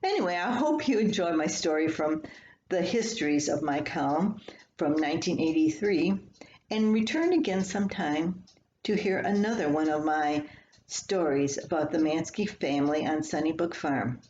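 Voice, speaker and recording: An older woman reading her own humorous personal column aloud in a folksy, unhurried storytelling manner. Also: low-pitched, lively intonation, darkish tone. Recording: echoey room, slightly dull, faint hiss.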